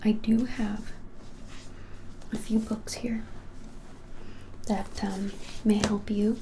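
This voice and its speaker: Slow whispering young woman with crackly fry. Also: mid-range voice, flat delivery. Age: 20s. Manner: gentle hesitant whisper with many pauses. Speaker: ASMR creator playing a witch shop clerk. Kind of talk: whispered ASMR roleplay. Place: plain small indoor room. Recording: clearly hissy background noise.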